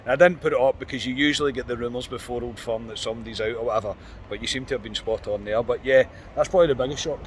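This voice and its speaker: A Scottish man hosting a football podcast outdoors, talking in an animated, frustrated way. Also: mid-range voice, slight background noise. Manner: animated, frustrated, quick restarts. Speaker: podcast host. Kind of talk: post-match fan reaction chat. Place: outdoors on a street.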